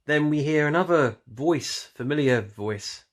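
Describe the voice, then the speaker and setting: A man's voice: fairly high pitch, expressive, unhurried. A man talking casually and off the cuff as an online reviewer, rambling loosely and often trailing off or correcting himself. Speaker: YouTube reviewer. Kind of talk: casual unscripted audio drama review.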